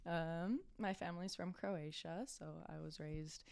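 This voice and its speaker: A woman's voice: lowish voice, steady tone, measured pace, creaky, darkish tone, clean tone, dry recording. A young adult woman with an American accent chatting casually as a podcast guest, informal and self-deprecating. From California, United States. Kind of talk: casual interview chat.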